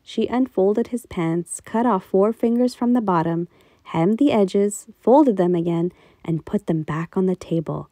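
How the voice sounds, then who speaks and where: A woman storyteller reading a children's tale with expressive, deliberate phrasing. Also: mid-range voice, no echo.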